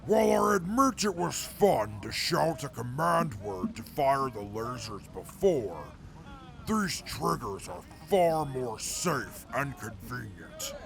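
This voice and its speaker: An actor playing a reformed male mutant soldier in an audio drama, speaking in a formal, measured way. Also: medium pitch, very animated, creaky, over music, exaggerated voice. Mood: eager. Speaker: former enemy scout now with the crew.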